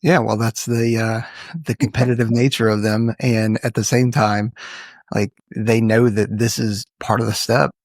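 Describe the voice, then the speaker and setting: A man hosting a casual interview podcast, talking in a relaxed conversational style. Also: on the low side, a little slow, no room sound. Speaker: podcast host.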